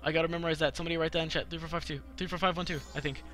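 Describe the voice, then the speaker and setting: A young adult man commentating casually on a video game for a live chat, slangy, profane and excitable, often repeating himself. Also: a little high, racing, faint music behind. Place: live game stream with game music behind the voice.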